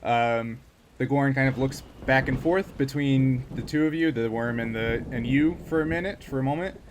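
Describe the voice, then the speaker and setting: A man's voice: mid-range voice, normal speed. Man game master, noisy background. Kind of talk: casual narration of a tabletop role-playing game.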